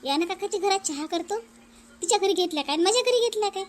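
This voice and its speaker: Very high-pitched inquisitive landlady played by a woman. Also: animated, quick pace. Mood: hospitable. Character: inquisitive, hospitable married landlady. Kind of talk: cartoon family comedy dubbing.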